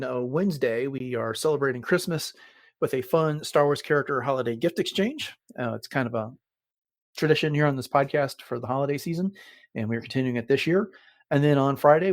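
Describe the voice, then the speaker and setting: A man hosting a podcast in casual conversation, sometimes pausing mid-sentence as he explains things. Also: fairly high pitch, dry recording, studio quality. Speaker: podcast host.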